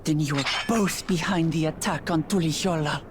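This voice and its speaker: Low-voiced, blunt woman warrior played by an actress. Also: normal speed, noisy background, lightly acted. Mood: suspicious. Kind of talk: video game voice acting.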